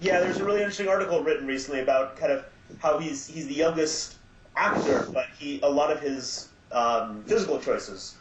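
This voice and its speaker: A man's voice: on the high side, a little fast, background noise. A man chatting casually on a podcast, musing at length with many restarts and fillers. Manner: thoughtful, rambling with many restarts. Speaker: podcast guest. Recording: roomy home podcast audio.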